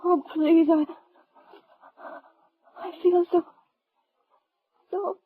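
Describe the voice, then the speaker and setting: A woman's voice: extremely high pitch, flat delivery, very slow, full character voice. A woman playing a wounded young dance hall girl in a radio western, weak, bewildered and crying in pain. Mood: pained, tearful. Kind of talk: mid-century radio western acting. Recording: tinny old radio recording.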